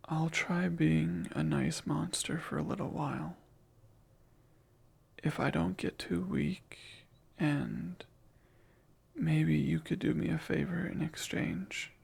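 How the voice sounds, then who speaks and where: Man doing a gravelly whispering shadow demon. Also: a little high, full character voice. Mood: tender. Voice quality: whispery, breathy. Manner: slow, soft-spoken, wistful musing. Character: lonely, clingy male sleep paralysis demon. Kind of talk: ASMR roleplay.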